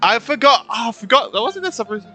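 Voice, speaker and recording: A woman's voice: on the high side, very animated, quick pace, bright, forceful tone. A woman game streamer giving casual reaction commentary in short interjections. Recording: game music behind the voice.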